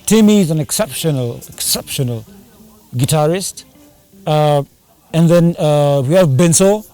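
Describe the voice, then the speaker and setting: A man's voice: fairly high pitch, measured pace, warm tone, no echo, soft background music. A man chatting casually in an interview, rambling and slipping into Swahili slang. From Kenya. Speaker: band singer and drummer.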